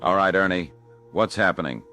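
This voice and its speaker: A man playing a weary but steady late-night radio host in an audio drama, plain and low-key. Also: moderate pace, soft background music. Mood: concerned.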